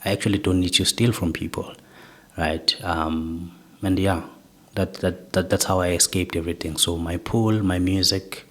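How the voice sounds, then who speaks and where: Man, from South Africa, soft low voice, reflective, asks "right?" a lot. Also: fairly even intonation, normal speed. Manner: reflective, often ends points with "right?". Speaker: guest. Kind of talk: personal life story interview.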